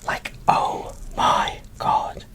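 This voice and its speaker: A woman speaking in a soft, breathy whisper, showing things off with gushing enthusiasm in an ASMR style. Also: extremely slow. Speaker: host of an ASMR doll unboxing channel. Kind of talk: whispered ASMR show-and-tell.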